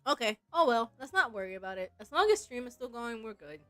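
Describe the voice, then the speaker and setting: Woman, higher voice, playful game streamer, casual chat and story read-aloud, light music underneath. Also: expressive, fast, sharp tone, no echo. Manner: playful, giggly fan commentary.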